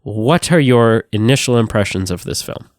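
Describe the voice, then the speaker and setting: A man hosting a casual movie review podcast, sick and sounding nasal, animated and swearing freely. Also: fairly low pitch, moderate pace, dry recording, studio quality. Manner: animated, swearing, laughing often. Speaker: podcast host.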